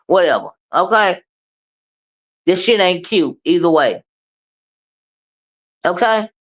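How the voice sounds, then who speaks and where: A woman with a loud, boisterous voice, ranting bluntly in a live commentary and keeping her listeners in line with constant checks of "okay?" and "right?". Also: fairly low pitch, expressive, slow pace. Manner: blunt, profane, keeps asking "okay?" and "right?"; moody, confrontational.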